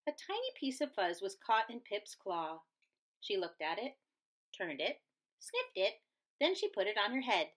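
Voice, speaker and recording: Animated woman reading a storybook aloud. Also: mid-range voice, a little slow. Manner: dramatic, emphatic. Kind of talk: expressive children's storybook narration.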